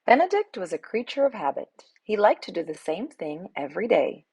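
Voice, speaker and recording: A woman's voice: medium pitch, sing-song, fairly quick, gravelly, a little mellow, breathy edge, dry recording. A woman reading a children's picture book aloud expressively, as a storyteller. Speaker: read-aloud host, storyteller.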